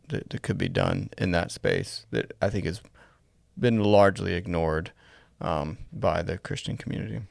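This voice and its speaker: Low, smooth-voiced man with vocal fry. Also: dry recording, studio quality. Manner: tentative, hesitant and reflective. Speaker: podcast co-host. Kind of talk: casual conversational commentary.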